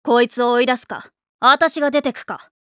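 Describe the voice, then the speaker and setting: A woman acts out a hot-tempered high school tomboy for an anime. The voice is high and bright, with slangy, boyish phrasing. It is blunt and defensive, recorded very dry. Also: moderate pace, no echo, lightly acted. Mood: hurt, angry. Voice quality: rough, slangy boyish speech. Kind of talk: anime voice acting.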